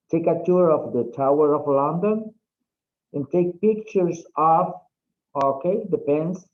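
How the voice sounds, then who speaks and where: A man teaching an English class, explaining slowly and often checking in with "okay?". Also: a little high. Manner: slow, drawn-out, frequent "okay?" checks. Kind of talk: online class instruction. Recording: dull lo-fi audio.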